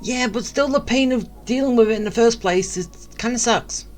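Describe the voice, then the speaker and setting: A woman's voice: fairly high pitch, moderate pace, darkish tone, faint music behind. A woman giving casual, musing gameplay commentary as a streamer, in an informal way. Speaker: streamer playing a game.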